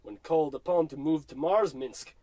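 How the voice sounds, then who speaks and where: A man's voice: fairly high pitch, normal speed, dark tone, no room sound, lightly acted. An actor playing a solemn, polite old Russian grandfather with a Russian accent, telling his tale grandly in a radio comedy. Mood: mournful, solemn.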